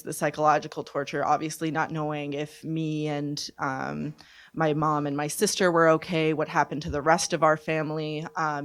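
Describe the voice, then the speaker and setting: Halting young woman giving testimony. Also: sits low. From born in Serbia, raised from infancy in Canada. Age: 20s. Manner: halting, deliberate, reflective. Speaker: panelist, refugee survivor. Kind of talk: personal testimony at a panel discussion. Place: conference event, speaking on a panel.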